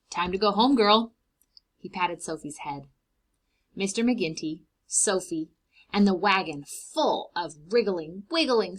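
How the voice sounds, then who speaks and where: A woman reading a children's storybook aloud in an expressive way, explaining things simply to young children. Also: medium pitch. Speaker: read-aloud host for preschoolers.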